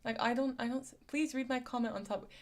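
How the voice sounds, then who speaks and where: A woman's voice: on the high side, fairly even intonation, quick pace. Giggly woman with a lisp. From San Diego, USA. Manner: giggly, chatty, reads comments aloud. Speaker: live-stream host reading chat. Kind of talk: casual live-stream chat.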